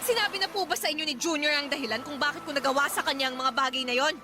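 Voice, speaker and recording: Noisy ambience sits behind a very high, quick-speaking young wife played by a woman. She is feisty and aggrieved, indignant and pleading in full radio drama style. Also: natural voice. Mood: exasperated. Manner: indignant, pleading, fast-talking. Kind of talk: Filipino radio drama acting.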